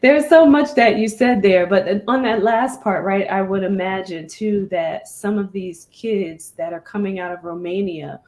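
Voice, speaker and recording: Woman host, mid-pitched voice, affirming, easygoing chat. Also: measured pace, few pauses, darkish tone. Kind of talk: casual talk show chat.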